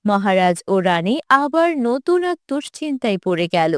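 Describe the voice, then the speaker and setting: A woman's voice: medium pitch, sing-song, normal speed, a little mellow, clean tone, no room sound, studio quality. A woman narrator reading a scripted folk tale in storytelling style.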